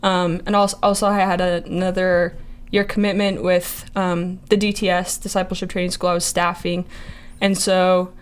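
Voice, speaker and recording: A woman's voice: fairly low pitch, level tone, moderate pace, few pauses, clear, resonant tone. A young woman in her early twenties from Montana, sharing a faith testimony in casual chat, hesitant with many fillers. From Montana, USA. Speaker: ministry intern.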